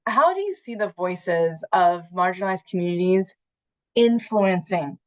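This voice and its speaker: Halting middle-aged American woman moderator, lowish voice. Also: animated. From Maine, United States. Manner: halting, hesitant phrasing with repeated words. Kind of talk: conversational panel moderation.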